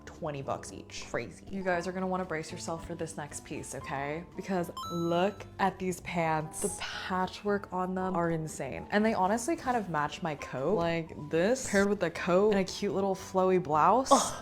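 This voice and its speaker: Chatty young woman vlogger, low voice. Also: normal speed, over music. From Taiwan. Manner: chatty, upbeat, talks straight to viewers. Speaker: fashion vlogger. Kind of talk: casual vlog narration.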